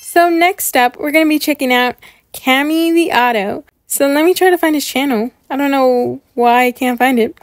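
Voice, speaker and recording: Casting: female reaction host, high voice, excitable and gushing, chatty delivery, dry close sound. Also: moderate pace, dry recording. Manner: gushing, excitable, repeats words for emphasis. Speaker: host of a reaction video series. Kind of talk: casual chatty reaction commentary.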